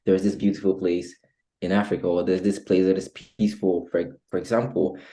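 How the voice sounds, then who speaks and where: Male guest, Ghanaian accent, low-pitched and dark-toned, measured, diplomatic, conversational. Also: flat delivery. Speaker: interview guest. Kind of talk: casual conversation.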